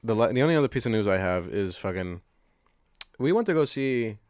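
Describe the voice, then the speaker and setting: A man's voice: fairly low pitch, expressive, brisk, a little mellow, dry recording, studio quality. A man co-hosting a casual comedy podcast, joking sarcastically and swearing freely as he chats. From Dominican heritage. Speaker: podcast co-host. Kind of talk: casual comedic banter about movie news.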